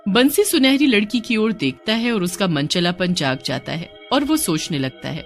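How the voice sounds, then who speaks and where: A woman storyteller reads a scripted horror tale. Her medium-pitched voice is lively and varied, with faint music underneath. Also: moderate pace.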